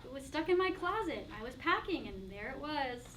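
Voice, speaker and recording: A far-off recording with audience sounds around a woman acting an anxious woman in her early twenties. She has a high, lively voice and delivers a play's lines with dry, probing hesitation. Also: brisk, a little hiss or hum. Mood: awkward. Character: anxious, wry 23-year-old woman, concerned and probing. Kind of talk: staged play reading. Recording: distant mic, audience sounds.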